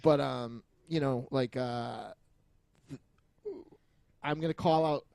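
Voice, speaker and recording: A man's voice: mid-range voice, slow pace, creaky, a little mellow, no echo. A man chatting casually as a podcast co-host, rambling and joking with frequent chuckles and some swearing. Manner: jokey, foul-mouthed, rambling, often chuckling.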